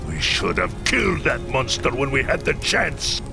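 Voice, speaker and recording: An actor playing a bitter, vengeful middle-aged clan leader in a video game, heated and dramatic, with a gravelly voice. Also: male voice, medium pitch, huge pitch swings, unhurried, heavily acted. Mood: bitter anger. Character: bitter, vengeful human clan leader, grieving husband and father. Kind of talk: video game cutscene acting. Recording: loud music behind.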